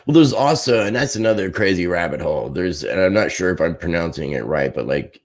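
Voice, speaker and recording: Very deep-voiced man chatting casually. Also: animated, fairly quick. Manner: tentative, rambling, hedging. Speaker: podcast host. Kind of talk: casual live talk show chat.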